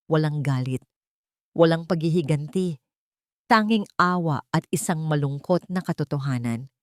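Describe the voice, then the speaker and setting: A woman with a Filipino accent narrates a dramatic romance story from a script. Her voice sits low, and the sound is close and dry. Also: moderate pace, dry recording.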